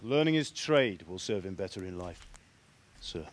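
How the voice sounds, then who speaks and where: A plain-spoken blacksmith. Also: male voice, low-pitched, lively intonation, moderate pace, slight background noise. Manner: plain, practical. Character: gruff male blacksmith father, hardworking.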